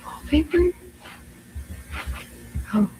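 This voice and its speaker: A woman's voice: extremely slow, dark tone. A woman acting a frightened, lost explorer in found-footage horror, nervously muttering and whispering to herself. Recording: noisy found-footage horror video sound.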